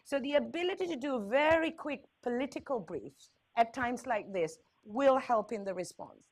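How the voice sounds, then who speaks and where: A woman's voice: fairly high pitch, animated, normal speed. A woman with a Sri Lankan accent presenting at a conference, candid and wry, stressing points with repetition and checking in with short tag questions. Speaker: health agency speaker, risk communication expert.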